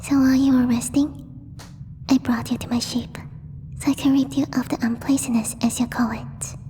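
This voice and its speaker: A woman voicing a gentle, timid alien girl in a soft, breathy ASMR roleplay, speaking formally and haltingly as she searches for words. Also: high voice, level tone, close to an everyday voice. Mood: tender, concerned. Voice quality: breathy, whispery. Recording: long reverb, faint background noise.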